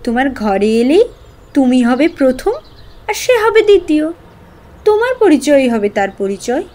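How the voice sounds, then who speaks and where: A lively young sister in an audio drama. She teases playfully in a high, girlish register, her pitch leaping about as she talks. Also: normal speed. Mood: teasing, amused. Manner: playful, animated. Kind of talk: literary audio drama acting.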